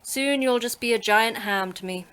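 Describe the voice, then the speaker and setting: A woman's voice: fairly high pitch, moderate pace, a bit bright, clear, resonant tone, lightly acted. A woman playing the terse, dry-witted manager of a secret facility in a sci-fi horror audio drama.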